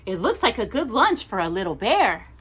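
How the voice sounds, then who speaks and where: A woman's voice: mid-range voice, big pitch swings, normal speed, a bit bright, husky. A woman reading a children's storybook, voicing an imaginative, playful little boy bear cub who exclaims in wide-eyed earnest. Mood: amazed.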